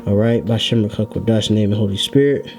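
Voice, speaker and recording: A man giving an informal scripture lesson, explaining word by word in a halting, deliberate way and often checking in as he goes. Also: fairly low pitch, music in the background. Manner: halting, deliberate, frequently checking in with "all right". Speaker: religious Hebrew language teacher.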